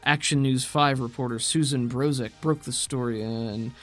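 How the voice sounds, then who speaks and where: A man's voice: mid-range voice, fairly even intonation, moderate pace, a bit bright, faint music behind. A man talking casually as a podcast co-host and game master.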